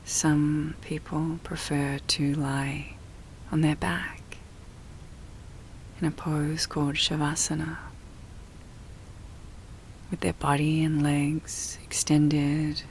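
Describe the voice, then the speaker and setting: A woman guiding a relaxation meditation in a soft, breathy, often near-whispered voice, soothing and full of long pauses. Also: sits low, flat delivery, slight background noise, low-quality recording. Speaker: yoga nidra meditation guide.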